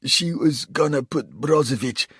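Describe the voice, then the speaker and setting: A man voicing a wounded, defiant South African male traitor, pushing his voice higher and spitting the words out. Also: expressive, unhurried, a bit bright, breathy edge, no echo, studio quality, heavily acted. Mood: desperate.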